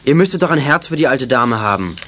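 A man's voice: medium pitch, lively intonation, quick pace, fairly bright tone. A young male actor playing a bossy, level-headed boy detective with clipped phrasing in a 1980s radio play. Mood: firm, organizing. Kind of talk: 1980s German youth radio play acting.

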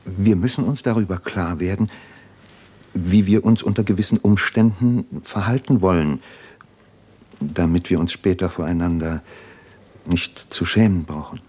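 Thoughtful educated man, vintage radio play: deep voice, earnest, careful, hesitant phrasing. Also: mellow, slightly rough. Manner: hesitant, deliberate, searching for words. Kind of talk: 1950s radio drama acting.

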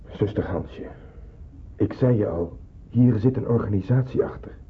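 An actor playing a kindly older man in the resistance, earnest and gently persuasive, in a radio drama. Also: fairly low pitch, measured pace, natural voice. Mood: earnest, pleading. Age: middle-aged. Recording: muffled, narrow-band old radio play, hissy.

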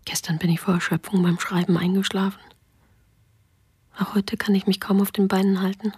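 An actress whispering the diary of an exhausted woman refugee for a radio drama, raspy and tired. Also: mid-range voice, little pitch movement, a little fast, darkish tone, no echo, clean studio sound. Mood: weary.

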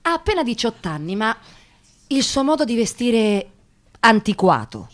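An actress playing an observant interviewer who narrates encounters in a measured, literary, reflective way in a radio drama. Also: female voice, on the high side, big pitch swings. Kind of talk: scripted first-person narration in radio drama.

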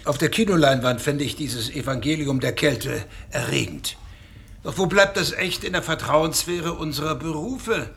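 A gravelly, bewildered professional. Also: male voice, a little high, normal speed, a bit bright. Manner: hesitant, earnest. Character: earnest, bewildered professional man. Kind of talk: radio drama.

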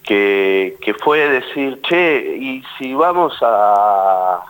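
An actor giving a relaxed radio interview in an Argentine accent. The man's voice sits fairly low. He often restarts and repeats words while he works out a thought. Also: very slow. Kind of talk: casual radio interview talk.

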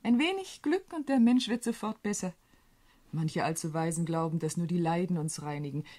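An actress playing a teasing, frank young widowed sister in a radio drama, playful and chatty with a husky voice. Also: female voice, on the low side, lively intonation, normal speed, mellow. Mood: cheerful, frank. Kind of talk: German radio drama acting.

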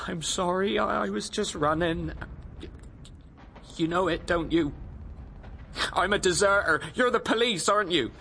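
Halting young English soldier, high-pitched, noisy ambience. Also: male voice, gravelly, pushed and bright, exaggerated voice. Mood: frightened, confused. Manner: halting, stammering, emotional. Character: shell-shocked young English soldier turned deserter, fragile, impassioned husband. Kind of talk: radio drama acting.

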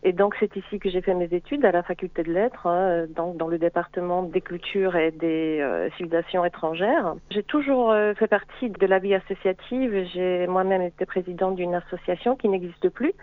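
A woman's voice: on the low side, normal speed, few pauses, dry recording. A woman from Poland, a native Polish speaker working as a teacher and interpreter, speaking in a radio interview by phone in a composed way with occasional fillers. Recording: tinny phone line.

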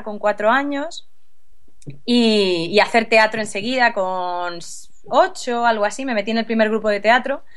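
A woman in her thirties from Spain, answering interview questions casually with frequent laughs and restarts. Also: on the high side. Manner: relaxed, laughs often, restarts sentences. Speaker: interview guest, actress.

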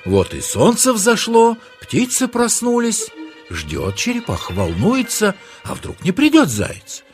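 Guy telling a kids fairy tale, really animated up and down, music playing behind him. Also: high voice, unhurried. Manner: dramatic, exclamatory. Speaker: narrator. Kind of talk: expressive children's storytelling.

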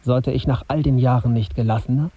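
An actor playing a brooding young journalist, narrating his tense inner thoughts in a measured, husky voice for a radio drama. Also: medium pitch, fairly even intonation, dry recording, lo-fi sound. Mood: anxious, introspective. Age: young adult. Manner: introspective, measured, bitter self-questioning.

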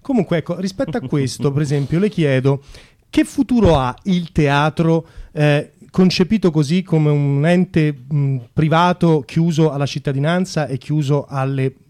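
A man's voice: a little high, moderate pace, darkish tone. A man interviewing on radio in a semi-improvised satirical style, politely mock-formal, with a hesitant, searching delivery.

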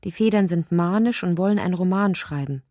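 An actress narrating a strange, inward literary radio drama in deliberate, short emphatic phrases. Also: female voice, on the low side, mellow, no echo, studio quality, lightly acted. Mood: intense, absurd. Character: woman narrator of a strange inward dreamlike tale.

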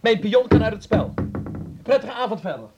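An actor playing a bitter, defiant teenage son in a Dutch radio play, sardonic in manner. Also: male voice, high-pitched, expressive, normal speed, muffled recording, over loud music.

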